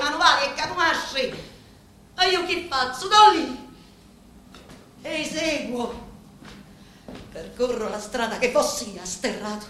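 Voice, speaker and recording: A grieving yet composed Sicilian woman speaks slowly and solemnly to a mob boss. Each phrase is weighed and stressed in the manner of a theatrical radio drama. The room rings with echo, over faint background noise. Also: on the high side, fairly bright tone. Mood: insistent.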